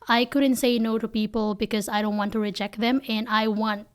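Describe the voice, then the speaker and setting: A woman's voice: a little high, flat delivery, normal speed, clear, resonant tone. A woman in her thirties from the Philippines with a Filipino accent, answering interview questions earnestly and often saying "you know", on a desk podcast mic in a small office. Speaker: guest, company founder. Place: small office studio with bookshelves. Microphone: desk podcast mic on boom arm.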